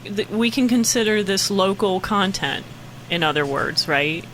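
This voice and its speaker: An American woman in casual banter, animated and quick to interject. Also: mid-range voice, a little hiss or hum, rough recording.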